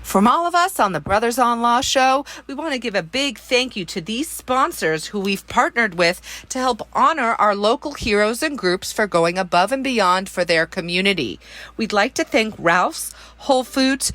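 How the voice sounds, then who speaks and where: A woman's voice: on the high side, animated, normal speed, sharp tone, dry recording. A woman reading a scripted sponsor thank-you on a radio show with a polished delivery. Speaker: station announcer.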